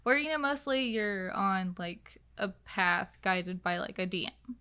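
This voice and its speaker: A woman's voice: mid-range voice, animated, a little slow, pushed and bright, clear, resonant tone. A woman speaking as a podcast guest in casual conversation, thinking aloud with frequent filler words. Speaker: podcast guest, game designer. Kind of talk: casual chat.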